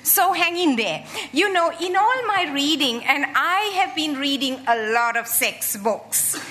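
A woman in her sixties from Sri Lanka, giving a humorous, animated public talk as a guest speaker. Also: sits high, a little slow. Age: 60s. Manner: lively, animated, joking.

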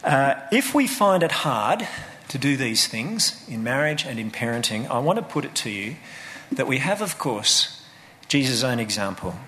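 A man's voice: medium pitch, big pitch swings, normal speed, sharp tone, a little hiss or hum. A man giving an earnest, sermon-like talk about faith and family.

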